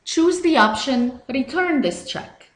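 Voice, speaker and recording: Slow, higher-pitched woman narrating a tutorial. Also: lively intonation, reverberant. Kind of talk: scripted step-by-step instructional narration.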